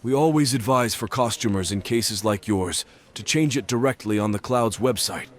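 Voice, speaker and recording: A man playing a polite, formal male stalker in an audio drama, speaking in a measured way. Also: fairly low pitch, lightly acted. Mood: polite, instructive. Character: male stalker posing as polite tech support worker, narrating calmly. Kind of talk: scripted drama narration and dialogue.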